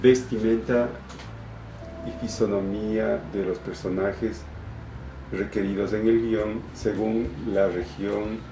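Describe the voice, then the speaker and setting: Low-voiced Ecuadorian man in a roomy echo. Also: fairly even intonation, slow pace, background noise. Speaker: comic illustrator. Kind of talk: informal testimonial explanation of work samples. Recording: roomy echo with music behind. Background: background music.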